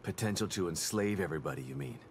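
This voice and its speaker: Grim middle-aged American game detective, very deep voice, brooding, faint noise behind. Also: male voice, animated, fast. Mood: bitter, skeptical. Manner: brooding, skeptical. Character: weary, grim, guarded male detective father. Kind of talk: video game cutscene acting.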